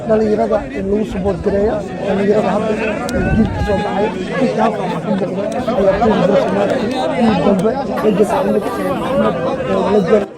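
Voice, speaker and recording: A man reporting live from a community football match, filling pauses with a hesitant "in". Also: high voice, flat delivery, a loud crowd. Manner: hesitant, repeats filler "in", fast. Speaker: sports reporter. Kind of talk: live pitchside commentary. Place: outdoor football pitch.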